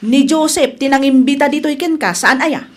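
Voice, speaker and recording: A woman playing a haughty, sharp-tongued wife hostile to her in-law in an Ilocano-accented radio drama. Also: sits high, normal speed, close to an everyday voice. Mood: scornful.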